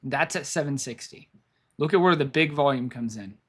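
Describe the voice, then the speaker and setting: A man's voice: mid-range voice, moderate pace, a bit bright, breathy edge. A man who is losing his voice, giving rambling live commentary on stock charts, thinking aloud and repeating himself. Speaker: stock market streamer.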